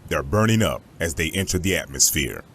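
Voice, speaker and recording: A man voicing a stoic robot crewman in an animated sci-fi story, grave and matter-of-fact. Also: very deep voice, fairly quick, slight background noise, exaggerated voice. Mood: grave, calm. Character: stoic, deep-voiced male robot crewman. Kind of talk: animated sci-fi motion comic acting.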